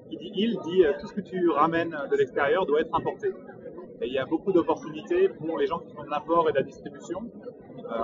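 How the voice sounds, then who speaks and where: A young man in his twenties from Brittany gives advice in a measured, hesitant way, pausing often as he thinks. His voice sits a little high for a man. The recording is muffled and distant, with loud noise behind him. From Brittany, France. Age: 20s. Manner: measured and advisory, many hesitations. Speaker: entrepreneur interviewee. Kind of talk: interview monologue.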